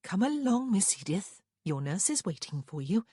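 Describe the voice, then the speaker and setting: A woman voicing a composed, disapproving upper-class mother in an audiobook, with an even, measured manner. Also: mid-range voice, husky, no echo, studio quality, pitched above the performer's own voice.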